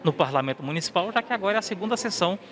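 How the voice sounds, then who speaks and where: Brisk Brazilian reporter asking questions. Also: male voice, sits high, expressive, fairly bright tone, slight background noise. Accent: Brazilian Portuguese. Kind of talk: news interview questions. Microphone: handheld mic.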